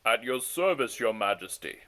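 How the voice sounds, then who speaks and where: A gravelly veteran messenger of a storybook court, acted by a man. He is formal, dutiful and eager to obey his king. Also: mid-range voice, very animated, moderate pace, pushed and bright. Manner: formal, obedient.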